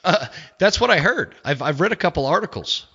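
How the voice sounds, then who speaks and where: A man's voice: high-pitched, expressive, brisk, bright, forceful tone, husky, lo-fi sound. An American man hosting a podcast in a casual, conversational way, close on a studio microphone. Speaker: podcast host.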